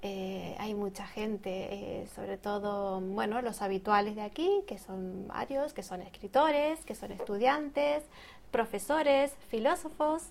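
A woman's voice: medium pitch, takes it slowly, vocal fry, darkish tone, slight background noise. A woman from Argentina, co-hosting a casual podcast chat and often searching for words and repeating herself. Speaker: bookseller and podcast co-host.

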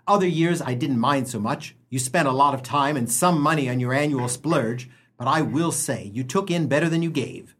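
An actor playing a sardonic, penny-pinching small-town husband in a comic radio play, blustering with a slightly husky voice. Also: male voice, medium pitch, moderate pace, fairly bright tone, natural voice. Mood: grumbling, ranting. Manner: blustering, sarcastic, declamatory.

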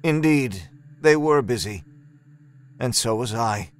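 A man narrating dramatic first-person horror fiction in a measured, deliberate, articulate manner. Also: mid-range voice, animated. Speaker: storyteller.

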